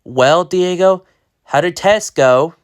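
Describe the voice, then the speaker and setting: Brief: reader playing kind best-friend boy, raised pitch, unhurried. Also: smooth, natural voice. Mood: friendly.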